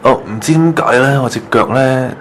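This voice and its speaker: A man's voice: medium pitch, normal speed, fairly bright tone, slight background noise, natural voice. A man acting a mild, apologetic young hospital patient in an online radio drama, considerate, with a Hong Kong Cantonese accent. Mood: puzzled, casual.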